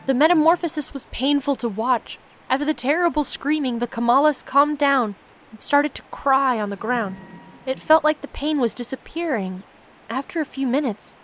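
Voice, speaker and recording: A woman reading aloud as a solemn diary writer recounting mystical visions, in a measured storytelling manner. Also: high voice, fairly bright tone. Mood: solemn, awed.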